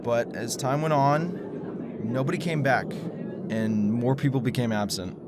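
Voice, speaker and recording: A man's voice: mid-range voice, a little slow, noisy background, natural voice. An actor playing an exhausted male conspiracy theorist who stammers, laughs nervously and refuses to back down. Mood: explaining. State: exhausted from lack of sleep. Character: sleepless male conspiracy theorist, stubborn, principled. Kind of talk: audio drama acting.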